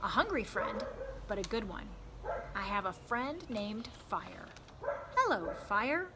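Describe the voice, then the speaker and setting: A woman voicing an earnest, excitable stranded boy in a storybook read-aloud, with emphatic, drawn-out delivery. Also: mid-range voice, noisy background. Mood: wistful.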